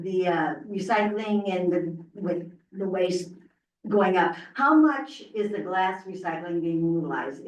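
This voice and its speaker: A woman resident giving informal public comment in a meeting room, away from the microphone. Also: medium pitch. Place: indoor council meeting room. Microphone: distant room mic, not at the stand. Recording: echoey room, far from the mic.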